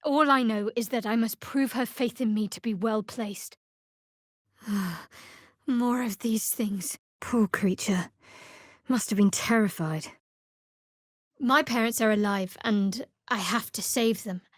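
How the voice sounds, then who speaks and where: An actress playing a guarded, devout young woman cleric in a video game, with a wry, measured delivery. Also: on the high side, no echo, studio quality. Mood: subdued. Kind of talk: video game character voice acting.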